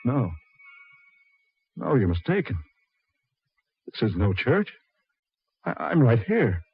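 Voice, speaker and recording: An actor playing a bewildered, frightened dead man, halting and disbelieving, in 1940s radio horror style. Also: medium pitch, very animated, husky, exaggerated voice. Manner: halting, disbelieving, repeating questions. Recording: dull 1940s radio recording.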